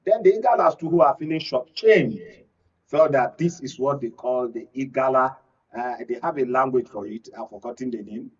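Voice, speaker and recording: Male Nigerian political pundit, husky voice, expository delivery, muffled off-mic studio sound. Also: mid-range voice, normal speed. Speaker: guest political analyst. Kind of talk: political panel discussion. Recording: dull, distant studio sound.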